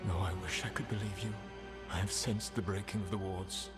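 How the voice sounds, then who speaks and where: A man's voice: low-pitched, steady tone, close to an everyday voice. A man playing a proud, principled elven rebel in a video game, speaking formally and earnestly with grave, wounded conviction. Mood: grave, pleading. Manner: formal, measured, earnest speech. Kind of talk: dramatic video game acting. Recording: music behind the voice.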